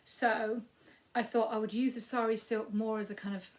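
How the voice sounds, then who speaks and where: Croaky Yorkshire woman in her fifties. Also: a little high, flat delivery, moderate pace. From Yorkshire, England. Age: 50s. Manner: rambling, with frequent ums and trailing asides. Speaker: crafting podcast host. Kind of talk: casual chatty crafting update.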